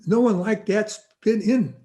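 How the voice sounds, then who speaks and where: A man's voice: high-pitched, a little slow, warm tone. A man playing a put-upon, grumbling bartender in a 1940s style radio drama, with a folksy manner. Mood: obliging.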